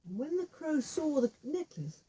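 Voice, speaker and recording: A woman's voice: high voice, big pitch swings, unhurried, mellow. A woman telling a folk tale informally, with repetition and little spoken asides. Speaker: storyteller with puppet figures.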